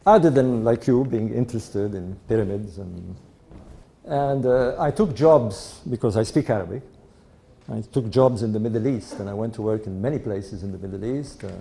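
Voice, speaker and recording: An older man from Egypt with a mixed European-Egyptian accent, telling his family story to an audience in an unhurried, anecdotal way and chuckling now and then. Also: mid-range voice, creaky, mellow. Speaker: speaker giving a talk to an audience. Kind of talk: storytelling talk.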